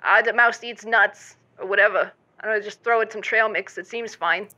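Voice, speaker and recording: Woman playing a bright, breezy mom caller, lo-fi. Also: medium pitch, fairly even intonation, moderate pace, lightly acted. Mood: breezy, oblivious. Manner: breezy, blunt, oblivious. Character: breezy, careless, conflict-avoiding mother calling radio show. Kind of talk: scripted audio comedy acting. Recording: dull lo-fi call-in sound.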